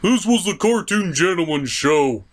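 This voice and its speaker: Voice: mid-range voice, animated, unhurried, background noise. A host of a puppet review show narrating a scripted comic episode recap in a theatrical, mock-dramatic manner.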